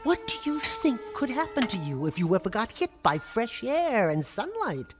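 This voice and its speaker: A woman's voice: a little high, very animated, moderate pace, soft background music. An actress playing a weary, resentful middle-aged niece who answers her uncle with clipped, sarcastic retorts. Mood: tart, weary. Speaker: put-upon niece and housekeeper. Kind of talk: 1960s TV drama acting.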